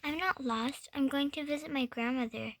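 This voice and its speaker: A woman's voice: high voice, moderate pace, slight background noise. A young girl playing an innocent, earnest little storybook girl with a wide-eyed, exclaiming manner. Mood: matter-of-fact. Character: innocent little girl visiting her grandmother.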